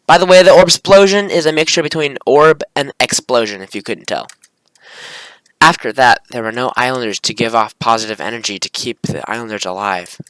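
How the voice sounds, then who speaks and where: Bright-voiced young man, casual and rambling. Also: medium pitch, animated, fairly quick, dry recording, poor audio. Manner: informal, rambling, self-correcting. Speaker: video creator. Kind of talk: casual screen-recording commentary, reading script aloud.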